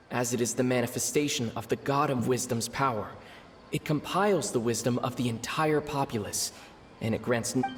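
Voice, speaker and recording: An actor plays a mentally drained man in a video game, mid-pitched and confused. He speaks haltingly and circles back on himself, with clear noise behind the voice. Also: natural voice. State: exhausted. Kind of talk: English video game voice acting.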